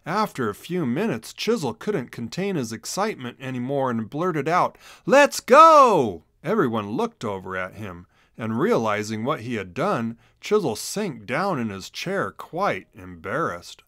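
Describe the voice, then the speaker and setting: A man narrating a children's storybook in an expressive read-aloud manner. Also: medium pitch, normal speed, few pauses, no echo, clean studio sound.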